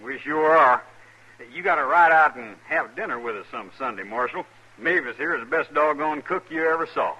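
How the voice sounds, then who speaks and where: An actor playing a stubborn, forthright young cowboy husband in an old radio western, with a rural American accent. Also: male voice, a little high, animated, normal speed, sharp tone, breathy edge, full character voice, rough recording. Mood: hearty. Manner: plain, forthright. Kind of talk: mid-century American radio western acting.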